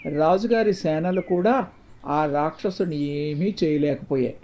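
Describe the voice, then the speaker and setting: Mellow-voiced frightened village man, read by a male narrator. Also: a little high, measured pace, lightly acted. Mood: fearful, explaining. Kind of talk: storybook dialogue. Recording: dull, muffled lo-fi sound.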